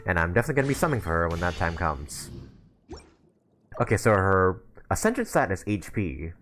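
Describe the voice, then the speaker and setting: A man's voice: low-pitched, sing-song, a little fast, a bit bright, slight background noise. A young man giving casual live stream commentary on a game, informal and thinking aloud as he plays.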